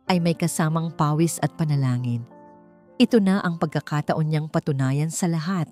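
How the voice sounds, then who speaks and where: A woman reading a scripted romance story in a measured, dramatic narrator's delivery. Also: sits low, warm tone. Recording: music under the voice. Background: background music.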